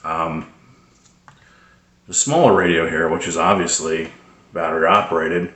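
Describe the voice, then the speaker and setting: Deep-voiced man in an echoey room. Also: fairly even intonation, bright, forceful tone. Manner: hesitant, rambling delivery with many pauses. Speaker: presenter of a radio tips video. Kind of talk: casual, unscripted explainer. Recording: roomy, echoing sound.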